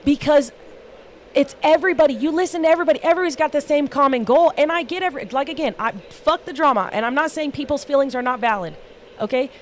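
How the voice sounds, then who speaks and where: A woman's voice: high voice, a little hiss or hum. A woman hosting a livestream panel, talking bluntly and emphatically and swearing constantly in casual rants. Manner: blunt, heavily profane, emphatic.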